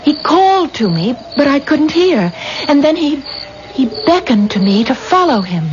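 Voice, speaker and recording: An actress playing a grieving, dreamy young bride in a 1970s radio drama, earnest and pleading. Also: female voice, high-pitched, very animated, moderate pace. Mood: wistful, grieving. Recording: muffled, noisy old radio broadcast.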